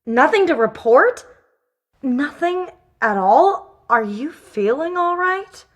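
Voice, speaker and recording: A woman's voice: high voice, expressive, takes it slowly. An actress playing a nosy, gossipy woman prodding for news in a video game. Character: nosy, gossipy female acquaintance fishing for news. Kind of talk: video game character dialogue.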